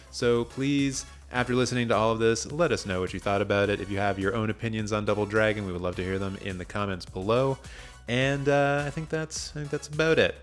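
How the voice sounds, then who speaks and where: A man with a fairly low voice hosts a casual film podcast. He talks in a jokey, self-deprecating, conversational way, with music behind him. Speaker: podcast host.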